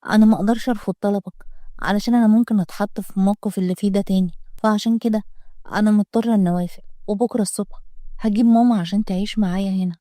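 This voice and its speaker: A woman's voice: medium pitch, fairly even intonation, rapid-fire, warm tone, dry recording, clean studio sound, lightly acted. A woman voicing a proud young student and nanny with an Egyptian accent, defensive and plainspoken in a storybook reading. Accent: Egyptian Arabic.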